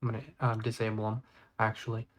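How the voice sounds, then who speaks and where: A man's voice: on the low side, monotone, quick pace. A man presenting a casual video editing tutorial, going step by step and thinking aloud as he works. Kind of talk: casual screen-recorded tutorial.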